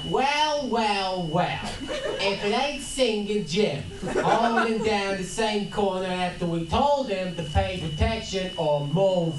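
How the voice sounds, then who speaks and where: A man's voice: high-pitched, takes it slowly. A man playing a menacing, taunting street racketeer in old-time radio crime drama. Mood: taunting menace. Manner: drawling, taunting. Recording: echoey noisy convention room, distant mic.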